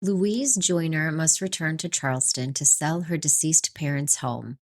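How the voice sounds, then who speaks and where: A woman in her forties co-hosting a book podcast, reviewing in an expressive, chatty way with frequent laughter. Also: low voice, unhurried. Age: 40s. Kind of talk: book review chat, partly prepared notes.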